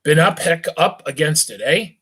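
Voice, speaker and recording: A man's voice: fairly high pitch, lively intonation, moderate pace, pushed and bright, breathy edge, full character voice. A man voicing a drunk, rich young man of about eighteen, slurring and rambling in a thick voice. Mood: tipsy friendly. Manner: slurring and hiccuping, lurching tipsy chatter.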